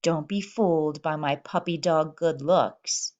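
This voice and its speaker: A woman reading a children's picture book aloud in an expressive manner, voicing animal characters in the first person. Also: low voice, measured pace. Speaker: storybook reader.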